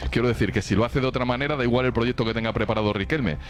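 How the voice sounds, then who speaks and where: A man's voice: mid-range voice, very fast, slight background noise. A man with a Castilian Spanish accent, a sports journalist talking colloquially in an unscripted football debate. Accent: Castilian Spanish from Spain.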